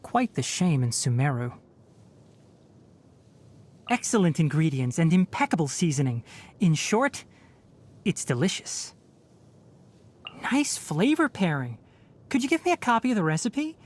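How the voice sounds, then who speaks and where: Lively, boyish fox-eared forest watcher. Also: male voice, fairly high pitch, measured pace, pauses often. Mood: calm, explanatory. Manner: articulate, gently instructive, dry. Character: young male fox-eared forest watcher, bookish, kindly, dryly exasperated. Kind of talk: video game character voice lines.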